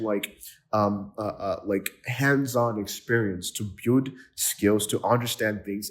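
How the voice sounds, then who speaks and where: A man from Nigeria hosting a podcast interview, talking with enthusiasm and lots of fillers and restarts. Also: lowish voice. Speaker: podcast host. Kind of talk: casual interview chat.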